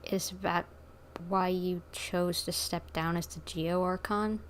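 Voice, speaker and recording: A woman's voice: fairly low pitch, fairly even intonation, slow, slight background noise. A woman casually reading game dialogue and commenting during a livestream.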